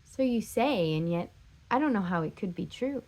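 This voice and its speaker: A woman's voice: medium pitch, lively intonation, moderate pace, darkish tone, lightly acted. A woman performing a stage drama role as a heartbroken, insistent jilted lover, pleading emphatically and repeating herself. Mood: hurt, insistent. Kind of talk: stage drama scene and monologue.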